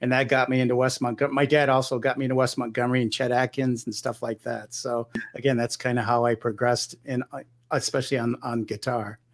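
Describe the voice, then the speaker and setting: A middle-aged man from Michigan talks about his music as an interview guest. His voice sits at an ordinary middle pitch, and he rambles along with lots of restarts and "you know.". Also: level tone, fast talker. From Michigan, United States. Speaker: guest guitarist. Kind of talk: relaxed conversational interview.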